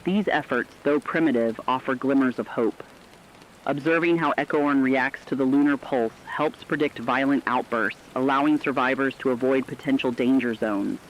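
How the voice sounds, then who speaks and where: A man narrating a scripted emergency radio broadcast in a grave, measured manner. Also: medium pitch. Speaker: radio announcer. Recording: slightly dull audio with faint rain noise.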